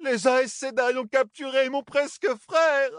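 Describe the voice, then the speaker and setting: A man voicing a fiery older woman warrior serving as a maid, shrill and commanding. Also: fairly quick, a bit bright, no room sound, clean studio sound. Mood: wailing.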